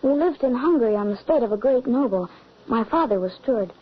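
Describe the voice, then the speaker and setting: High-voiced, haunted young boy. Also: expressive, fast talker, breathy edge. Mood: somber, recounting. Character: earnest, haunted eleven-year-old orphan boy. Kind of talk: old-time radio drama acting. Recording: muffled, hissy old radio recording.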